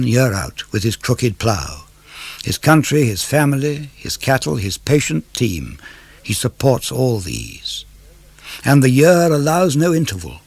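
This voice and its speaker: Creaky-voiced man reading verse. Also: mid-range voice, no echo. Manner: measured, declamatory phrasing. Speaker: poetry reader. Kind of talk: dramatic verse reading of classical poetry for radio.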